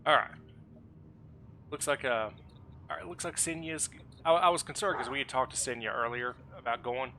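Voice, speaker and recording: A man's voice: mid-range voice, fairly even intonation, moderate pace, pauses often, pushed and bright. A man streaming a game and organizing his group in casual, self-deprecating voice chat.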